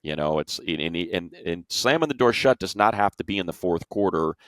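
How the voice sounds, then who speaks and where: A man's voice: low-pitched, lively intonation, fairly quick, a bit bright, no room sound, studio quality. A man casually talking sports as an analyst, repeating phrases for emphasis.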